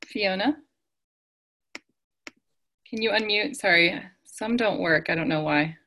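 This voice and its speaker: A woman's voice: medium pitch, slow, bright, forceful tone, a little hiss or hum. A woman hosting an online meeting, calling out names one by one and asking people to unmute. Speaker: meeting host. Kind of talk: calling roll on a video call. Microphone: video call.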